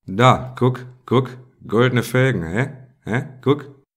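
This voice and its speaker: A man playing a brash, boastful middle-aged police inspector in an audio drama parody, with gruff, slangy banter. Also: medium pitch, takes it slowly. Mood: boastful, teasing.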